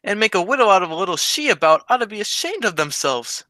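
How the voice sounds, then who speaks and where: Bright forceful voice, close dry sound, childlike cowardly male sidekick played by a man, wisecracking, naive, radio comedy. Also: high voice, big pitch swings, quick pace, dry recording, exaggerated voice. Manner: silly, punning, naive delivery. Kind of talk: radio comedy sketch acting.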